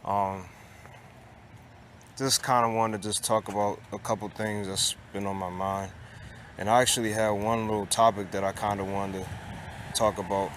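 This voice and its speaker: A man's voice: fairly low pitch, little pitch movement, normal speed, long pauses, a bit bright, a little hiss or hum. A man in his late twenties talking casually to his viewers in a rambling way, with many restarts and fillers. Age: 20s. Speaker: vlogger. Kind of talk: casual monologue to camera.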